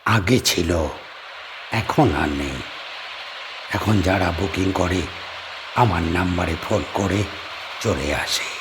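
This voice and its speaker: A man's voice: low voice, slow, long pauses, background noise. A man playing a lonely island guest-house owner. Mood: polite. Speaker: D'Souza. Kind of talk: audio drama acting.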